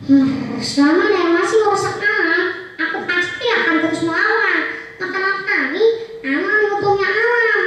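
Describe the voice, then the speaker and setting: A girl acts out a giant fanged spirit king of the swamp, wild and angry, in a storytelling piece. The delivery is drawn-out and theatrical, high in pitch. It rings through an echoey room, far from the mic. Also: bright, forceful tone. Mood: stern.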